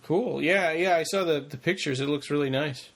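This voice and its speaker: A man's voice: on the high side, normal speed. A man co-hosting a podcast in loose, casual conversation. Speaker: podcast co-host.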